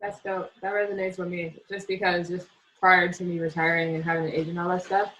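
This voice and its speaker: A woman's voice: fairly low pitch, little pitch movement, brisk, fairly bright tone, a little hiss or hum, rough recording. A woman, a former Olympic basketball player, co-hosting casually and affirming her guest. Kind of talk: casual interview questions.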